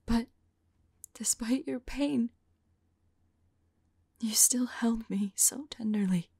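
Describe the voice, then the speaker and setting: A woman playing a remorseful, lovelorn female vampire in an ASMR roleplay, whispering softly and tenderly in a halting, intimate monologue. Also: fairly high pitch, no echo, exaggerated voice. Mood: anguished. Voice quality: soft, breathy whisper. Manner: slow, halting, tender delivery.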